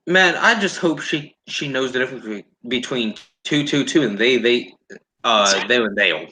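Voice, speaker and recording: A man's voice: mid-range voice, expressive, normal speed, pushed and bright, a little hiss or hum, poor audio. A man hosting a casual commentary chat in a blunt, sardonic, sweary way. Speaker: host.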